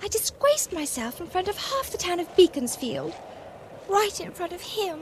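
A very high, breathless British schoolgirl in a radio play. She is excitable, gushing and lovestruck, with a wildly swinging pitch. The recording has clear noise. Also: normal speed. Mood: embarrassed. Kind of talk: radio drama acting. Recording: noisy radio drama recording.

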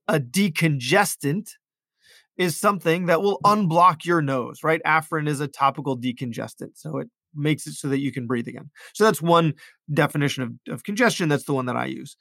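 A man's voice: a little high, vocal fry, fairly bright tone, dry recording, studio quality. A man speaking as an ear, nose and throat doctor, talking casually about patient cases and often repeating words as he thinks aloud. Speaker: ENT physician.

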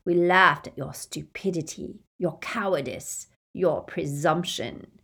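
A woman voicing an evil double of a teenage boy, taunting slowly in a sneering tone. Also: low-pitched, creaky, a bit bright, breathy edge, full character voice. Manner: slow, sneering.

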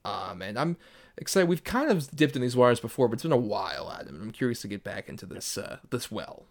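A man talks as a podcast co-host with a medium-pitched voice. He keeps things casual and conversational. His manner is playful and jokey, as in easy banter between co-hosts. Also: sing-song, brisk, gravelly. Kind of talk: casual movie podcast chat.

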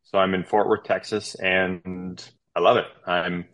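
A man's voice: deep voice, little pitch movement, a little slow, a bit bright. A man in his thirties, a podcast guest telling his story in a casual, rambling way with lots of fillers and restarts. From Canada.

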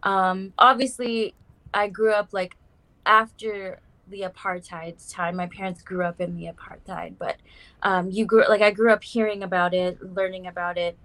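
A woman's voice: mid-range voice, fairly even intonation, normal speed, fairly bright tone, poor audio. A young woman from South Africa, now, chatting as a guest on a casual podcast and using lots of "like" and "um". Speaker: guest artist. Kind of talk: casual interview chat.

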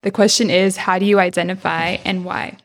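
A woman's voice: fairly low pitch, steady tone, fairly quick. A woman hosting an interview podcast, effusive and chatty, dropping Spanish phrases into her English. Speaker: podcast host. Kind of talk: warm enthusiastic interview chat.

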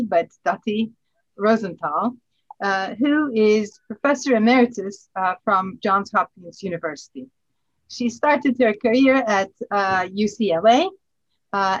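Host: a woman with a mid-range voice, formal and hesitant, recorded on dull lo-fi audio. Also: medium pitch. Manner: halting, formal delivery with frequent hesitations. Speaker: podcast host, society president. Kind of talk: formal introductions and casual chat.

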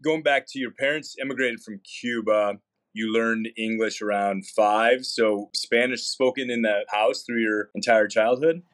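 A man's voice: fairly low pitch, fairly even intonation, unhurried, fairly bright tone. A man hosting an interview, asking friendly, conversational questions. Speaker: interview host.

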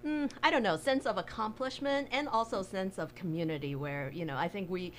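A woman from Taiwan telling her story casually as an interview guest, halting and thinking aloud. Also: medium pitch, a little hiss or hum. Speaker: interview guest, bakery co-owner.

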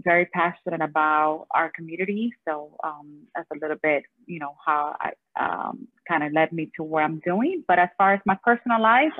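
A woman's voice: fairly low pitch, no room sound. A woman in her thirties from Miami, chatting as a guest in an upbeat, casual way with many fillers. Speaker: guest, community co-founder. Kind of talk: casual interview chat. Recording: tinny phone-like line.

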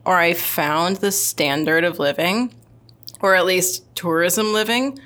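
A woman's voice: lowish voice, measured pace, a bit bright. A woman chatting casually, laughing often and talking with many fillers. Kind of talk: casual chat.

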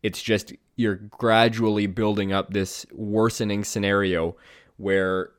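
A man with a low, slightly nasal voice, a bit stuffy from a cold, talking through a solo podcast episode. He rambles loosely, with plenty of "uh" and "you know" and restarts. The sound is dry and close, with no room in it. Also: flat delivery, takes it slowly, clear, resonant tone, no echo. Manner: rambling, many fillers and restarts. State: congested, under the weather. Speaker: podcast host. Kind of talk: casual solo podcast talk.

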